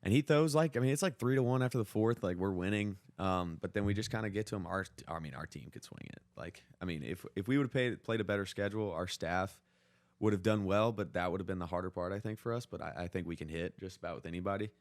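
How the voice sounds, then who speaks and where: A man's voice: low voice, fast, no room sound. A young American man telling sports stories in casual, slangy talk peppered with "like," as a podcast co-host. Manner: informal, slangy, heavy use of 'like'. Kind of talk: casual sports chat, storytelling.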